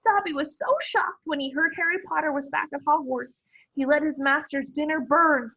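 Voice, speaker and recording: A woman voicing a small, fretful male house-elf who speaks of himself by name in a high pleading voice. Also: normal speed, bright, forceful tone, muffled recording. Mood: earnest.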